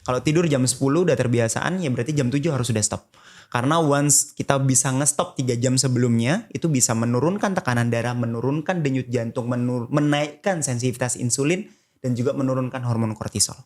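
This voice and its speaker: Male podcast guest, doctor type, Jakarta Indonesian accent, mid-pitched, casual and explanatory, mixing in English phrases. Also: brisk, a little mellow. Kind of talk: casual podcast conversation, explaining health advice.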